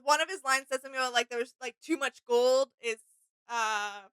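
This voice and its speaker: A woman's voice: high-pitched, normal speed, fairly bright tone, clear, resonant tone, no echo. A woman co-hosting a reaction video in casual chat, tentative and often trailing off mid-thought. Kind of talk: casual chat, sharing impressions.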